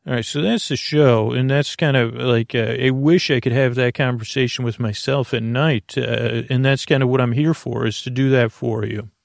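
A man with a creaky voice hosting a bedtime podcast in a soothing, meandering monologue that halts and loses its thread. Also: mid-range voice, steady tone, no room sound, clean studio sound. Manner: meandering, halting, losing his thread; soothing, lulling. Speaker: podcast host.

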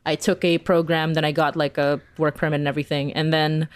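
A woman's voice: deep voice, little pitch movement, brisk, smooth, no room sound. A woman chatting casually as an interview guest, giggly and peppering her talk with "like". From Philippines. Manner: chatty, giggly, uses "like" constantly. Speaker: interview guest, animator and comics creator.

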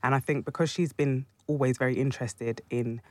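A young man from London, speaking in a reflective, soft-spoken way in a casual discussion. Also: mid-range voice, steady tone, brisk, dry recording. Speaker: panel guest.

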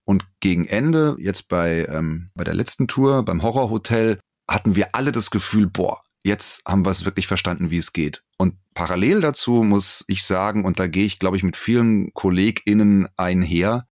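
A man with a low voice speaks in a very dry, close sound. He talks casually, like an interviewed stage performer, explaining things thoughtfully with pauses along the way. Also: creaky, no echo. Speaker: interviewed voice actor and live performer. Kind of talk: casual interview talk, anecdotes.

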